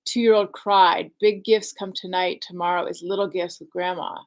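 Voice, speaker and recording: A woman's voice: lowish voice, steady tone, moderate pace. A woman hosting a casual livestream at home, chatting and reading viewer comments aloud while wrapping gifts. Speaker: host.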